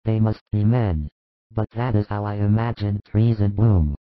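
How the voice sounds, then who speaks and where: A man's voice: fairly low pitch, normal speed, no room sound, natural voice. A man voicing a humble, awestruck blind man who is newly healed, in a dramatized scripture story. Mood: tentative wonder. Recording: muffled, phone-like audio.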